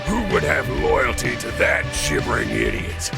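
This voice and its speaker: A man's voice: medium pitch, huge pitch swings, measured pace, gravelly, loud music behind the voice, exaggerated voice. An actor voicing a cackling, battle-crazed demon racer in a video game, taunting and theatrical as he draws out his words. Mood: gleeful, bloodthirsty.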